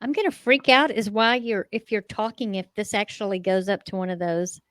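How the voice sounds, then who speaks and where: A woman's voice: mid-range voice, steady tone, a little fast, fairly bright tone, dry recording, clean studio sound. A middle-aged American woman co-hosting a show with casual, folksy, unscripted chat peppered with mild swearing. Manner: folksy, salty-tongued. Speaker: co-host of a paranormal show.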